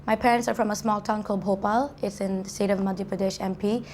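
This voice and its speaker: A woman's voice: medium pitch, steady tone, fast talker, a little mellow, slight background noise. A young woman who grew up in Saudi Arabia, answering interview questions in a chatty way, full of restarts and "like" fillers, in a university dorm. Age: young adult. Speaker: college student guest.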